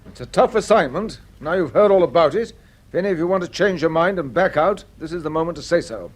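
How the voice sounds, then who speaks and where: A man's voice: a little high, lively intonation, fast. An actor with a British accent playing a composed, businesslike male space mission commander in an old-time radio drama. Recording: slightly noisy vintage radio recording.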